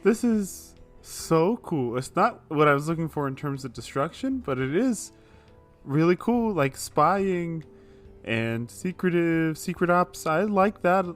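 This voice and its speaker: A man's voice: fairly high pitch, animated, measured pace, faint music behind. A man chatting casually and improvising as a player in a tabletop roleplaying game podcast.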